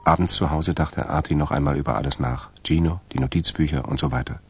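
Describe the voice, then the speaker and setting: A man acting as the narrator of a scripted crime radio drama, with a gravelly voice. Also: extremely low pitch, fairly even intonation, fast, loud music behind the voice. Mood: measured storytelling. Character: male narrator of a crime story.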